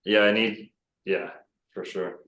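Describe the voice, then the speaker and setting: Man, very low voice, rambling, self-assured, casual podcast guest, roomy echo. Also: fairly even intonation, takes it slowly, a bit bright. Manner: rambling, self-assured, many fillers and restarts. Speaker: podcast guest, bookstore owner.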